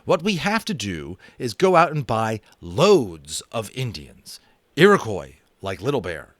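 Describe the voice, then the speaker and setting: A man's voice: mid-range voice, huge pitch swings, a little slow, fairly bright tone, dry recording. A man doing an eager schoolboy.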